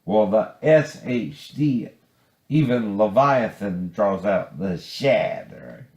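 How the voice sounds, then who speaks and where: A man's voice: on the low side, lively intonation, slow pace, a little mellow. A man giving rambling, informal commentary in a bitter, profane, confrontational manner. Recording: echoey, roomy sound.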